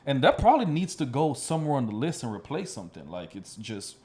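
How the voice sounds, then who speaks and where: A man's voice: mid-range voice, sing-song, fast talker, a little mellow. Casual, slangy man hosting a chat. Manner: informal, slangy. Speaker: podcast host.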